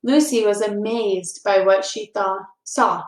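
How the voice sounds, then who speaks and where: A woman hosting a read-aloud for children, speaking in an encouraging, deliberate storytelling manner. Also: medium pitch, steady tone. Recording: echoey, reverberant room.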